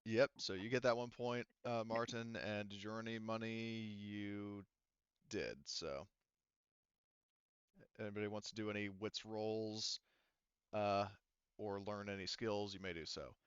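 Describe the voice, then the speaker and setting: A man's voice: on the low side, steady tone, measured pace, long pauses, clean tone, no echo, lo-fi sound. A man talking casually and informally, with some swearing, as game master of a tabletop roleplaying game.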